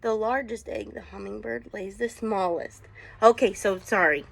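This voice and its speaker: A woman's voice: medium pitch, vocal fry, slightly rough. A woman teacher reading a picture book aloud to children, casually prompting them with questions as she goes. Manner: unhurried, inquisitive, prompting listeners with questions.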